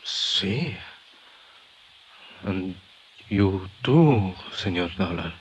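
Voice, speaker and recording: A man acting a courteous, incredulous Mexican doctor in a 1950s radio drama, speaking formally and haltingly with a Mexican accent. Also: low voice, lively intonation, a little hiss or hum, full character voice. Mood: concerned. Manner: halting, formal, searching for words.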